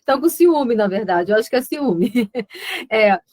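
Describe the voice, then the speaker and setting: A woman's voice: a little high, big pitch swings, measured pace, darkish tone, no echo. Reflective northern Brazilian woman, dry close sound. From Amazonas, Brazil (Alto Solimões). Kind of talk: conversational talk in a web conference. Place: remote web conference.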